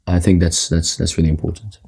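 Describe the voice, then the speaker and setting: Soft-spoken young man, deep husky voice. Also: steady tone, fast. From Egypt, raised in Qatar. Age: young adult. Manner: soft-spoken, hesitant, repeats words. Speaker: guest. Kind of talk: casual interview talk.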